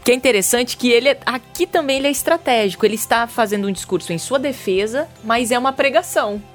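A woman's voice: fairly high pitch, fast, soft background music. A woman co-hosting a casual Bible podcast, reading passages aloud and then commenting lightly. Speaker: podcast co-host.

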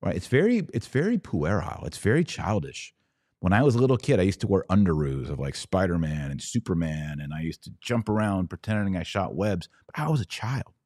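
A man's voice: sits low, very animated, fairly quick, a little mellow, no echo, studio quality. A middle-aged man hosting a podcast, delivering religious commentary in an emphatic, preachy yet conversational way. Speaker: podcast host.

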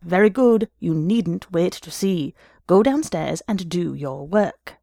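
A woman voicing a furious, shrill little office boss, a scolding and sarcastic man with a North of Ireland accent, in a story reading. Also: animated, takes it slowly, gravelly, mellow, no room sound, clean studio sound, exaggerated voice. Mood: sarcastic, impatient. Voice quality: shrill, piercing. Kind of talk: dramatised literary story reading.

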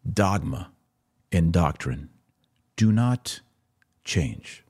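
An American man hosting a religious commentary podcast, speaking emphatically with deliberate pauses for stress. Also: low voice, a little mellow, breathy edge. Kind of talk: opinionated commentary to camera.

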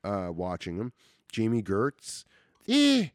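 A man's voice: lowish voice, huge pitch swings, takes it slowly, no echo, studio quality. A man co-hosting a podcast, chatting casually and enthusiastically about films, rambling and swearing freely. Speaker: podcast co-host. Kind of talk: casual movie chat.

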